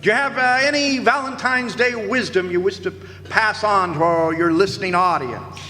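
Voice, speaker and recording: A man's voice: sits high, normal speed, pushed and bright, faint music behind. A man playing a pompous, boozy old-time radio comedian host, with florid, mock-gallant talk. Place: stage in a hall. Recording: echoey hall, clear background noise.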